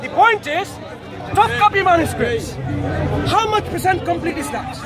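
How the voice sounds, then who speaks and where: A man's voice: very high-pitched, expressive, moderate pace. A young man debating outdoors in a park, pressing his points and repeatedly insisting that he has not finished. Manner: insistent, keeps interrupting, repeats himself. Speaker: street debater. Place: outdoors in a city park with a crowd. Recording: loud crowd around outdoors.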